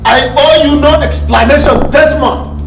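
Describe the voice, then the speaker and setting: An echoey, distant recording of a Nigerian political chairman in a TV drama. He is pompous and quick to anger. His voice sits very high for a man and his delivery is formal and ponderous. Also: a bit bright, faint music behind, full character voice. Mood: agitated, indignant. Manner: formal, ponderous, repeats words when angry. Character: irascible male party chairman, pompous and easily outraged. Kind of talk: TV drama acting. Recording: echoey room, distant microphone.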